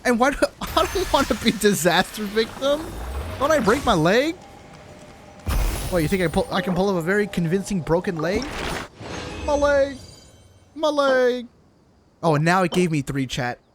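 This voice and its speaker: Animated high-pitched man streaming a game. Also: normal speed, pauses often, over music. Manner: slangy, animated, swearing, teasing. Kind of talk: casual chat with viewers during gameplay. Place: live stream at a gaming setup.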